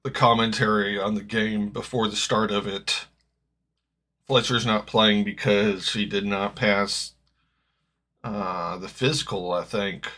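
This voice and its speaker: A man with a slightly gravelly voice, chatting casually as he reacts live to a football match, rambling and correcting himself as he goes. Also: on the low side, bright, forceful tone. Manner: rambling, self-correcting, hopeful fan. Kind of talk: casual live-stream chat.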